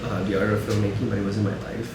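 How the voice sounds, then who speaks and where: A man's voice: lowish voice, little pitch movement, racing, distant mic, heavy background noise. A man in his twenties from Indonesia, a content creator chatting as an interview guest, peppering his talk with "like" and poking fun at himself. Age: 20s. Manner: chatty, heavy use of "like", self-deprecating. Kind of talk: casual interview chat. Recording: roomy sound from off-mic, loud music behind.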